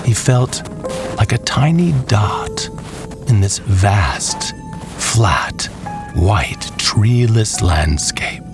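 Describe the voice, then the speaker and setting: A man with a very low voice tells a scripted tale at an unhurried pace. He draws his phrases out for dramatic weight, each one measured. Music plays clearly behind the narration. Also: expressive. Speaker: storyteller.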